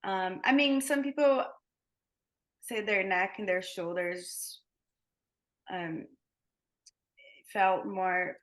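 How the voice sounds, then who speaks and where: A woman's voice: medium pitch, fairly even intonation, drawn-out words, pauses often, a bit bright. A woman who teaches yoga and Thai massage, talking casually as a guest in an interview, with many fillers and restarts.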